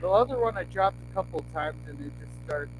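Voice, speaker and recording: A man's voice: a little high, expressive, quick pace. A man on a quiz podcast panel, talking hesitantly through a faulty headset mic. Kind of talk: casual chat. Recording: noisy headset line with hum.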